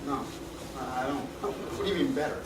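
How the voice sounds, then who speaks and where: An actor playing a wary, reflective man in his fifties on stage, speaking in a halting, hesitant way. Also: medium pitch, lightly acted. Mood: uneasy, reproachful. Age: middle-aged. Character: wary, reflective man past fifty facing an old friend. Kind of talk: live stage play acting. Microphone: far camera. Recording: distant, echoey theatre recording with noise.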